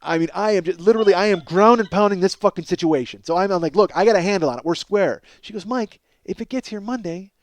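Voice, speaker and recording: A man hosting a podcast, telling a casual, profane comic story in an animated, exaggerating way and acting out both sides of conversations. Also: high-pitched, quick pace, few pauses, dry recording. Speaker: podcast host.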